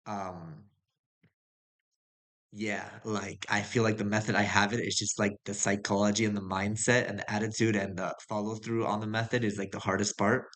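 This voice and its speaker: A man's voice: on the low side, level tone, moderate pace, fairly bright tone. A young man from the United States telling his life story in a casual, rambling way, with many fillers and restarts. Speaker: vlogger. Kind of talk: casual personal monologue.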